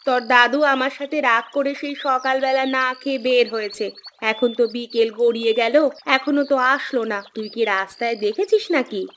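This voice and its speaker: A woman's voice: a little high, fairly even intonation, a little fast, fairly bright tone, a little hiss or hum, natural voice. A woman playing a bossy, caring village wife and mother in a cartoon, with an emphatic, nagging delivery. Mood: worried. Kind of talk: cartoon dialogue acting.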